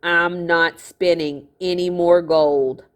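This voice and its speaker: A woman gives voice to a young boy hero from a storybook. He is guarded and stubborn, speaking slowly and holding his ground with wary defiance. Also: fairly low pitch, steady tone. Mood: defiant.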